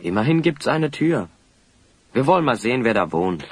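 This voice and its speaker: An actor playing a sensible, friendly teenage boy hero, reasoning and gently chiding, in a radio play. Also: medium pitch, sing-song, moderate pace, slight background noise. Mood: curious.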